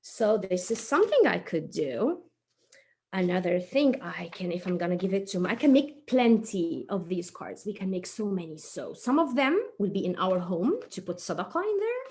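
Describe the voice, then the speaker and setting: A woman's voice: fairly low pitch, big pitch swings, normal speed, a little mellow. Woman voicing a chatty, eager child puppet. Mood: cheerful, eager. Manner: thinks aloud, exclaims, explains simply. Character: chatty, eager young child puppet, impatient but conscientious. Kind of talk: children's puppet show storytelling.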